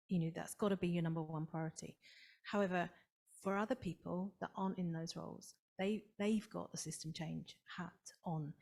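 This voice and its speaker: Woman, slightly low voice, panel speaker, conversational and reasoned. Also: a little mellow. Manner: conversational, reasoned, many "you know"s. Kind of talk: conference panel discussion.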